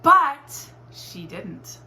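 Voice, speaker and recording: A woman reading a children's storybook aloud in an expressive, deliberate teacherly way. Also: high voice. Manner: animated, deliberate storytelling with acted exclamations. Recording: roomy echo, faint background noise.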